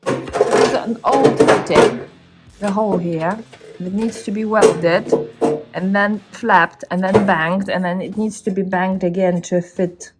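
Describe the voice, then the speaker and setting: A woman's voice: mid-range voice, fairly even intonation, slow pace, loud music behind the voice, poor audio. A woman with a foreign accent in English, chatting casually and playfully argumentative while working in a motorcycle workshop. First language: not English. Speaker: motorcycle builder and video host.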